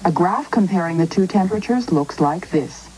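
A woman's voice: fairly low pitch, lively intonation, normal speed, a little mellow, a little hiss or hum, rough recording. A woman giving scripted science narration in an explanatory, instructional manner.

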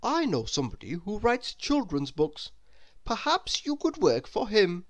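A kindly older gentleman from a children's storybook, acted out by the narrator. He is helpful and considerate, speaking emphatically with lively rises and falls. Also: medium pitch, unhurried, voice pushed up. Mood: helpful, puzzled.

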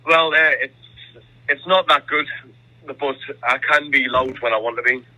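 A man's voice: medium pitch, moderate pace, sharp tone. A young man talking hesitantly and agreeably in a phone conversation, over a tinny telephone line. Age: young adult. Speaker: army applicant, prank call target.

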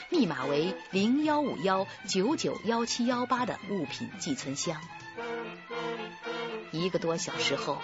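A woman giving scripted narration for a radio drama. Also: fairly low pitch. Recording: dull sound, loud music behind.

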